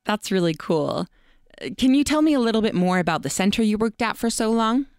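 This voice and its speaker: A woman hosting a podcast in a conversational interview style, with a smooth, clear voice. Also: medium pitch, expressive, brisk, darkish tone, no room sound, studio quality.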